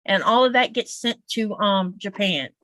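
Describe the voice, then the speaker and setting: A woman's voice: medium pitch, measured pace, a bit bright, dry recording. A woman with a Southern US accent, chatting casually on a livestream in an explanatory, folksy way. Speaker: quilter guest on a livestream.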